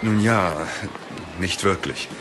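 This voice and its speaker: A man playing a calm, mysterious young mage who speaks politely and formally in a fantasy audio drama. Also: deep voice, slow pace, background noise. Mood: calm, grave.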